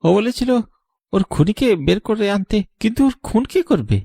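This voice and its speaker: A man's voice: high voice, expressive, normal speed, creaky, mellow, slightly rough, no room sound, studio quality, full character voice. A man voicing an earnest, anxious but determined young madrasa student in a horror cartoon.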